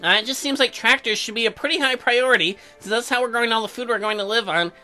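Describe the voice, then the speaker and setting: A man's voice: extremely high pitch, fast, pushed and bright, pitched above the performer's own voice, exaggerated voice. A man voicing a young farmer husband in a visual novel game, earnest, hesitant and slightly impatient. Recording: music behind.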